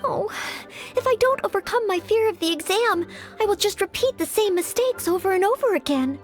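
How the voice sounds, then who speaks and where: A woman's voice: animated, a little fast, faint music behind. A woman voicing an earnest, anxious, polite young maid who hopes to be a knight, in a girlish, sweet, hesitant anime-style game voice. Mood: nervous. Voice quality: girlish, sweet, high-pitched.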